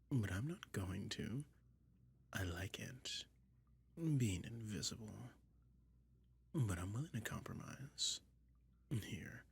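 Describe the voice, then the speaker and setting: Slow, whispering sly dragon acted by a man. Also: on the low side, full character voice. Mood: playful, teasing. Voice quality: breathy, whispery, gravelly. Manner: slow, teasing, coaxing delivery. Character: sly, soothing male dragon lurking in a cave, toying hypnotist. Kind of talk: soft-spoken ASMR roleplay.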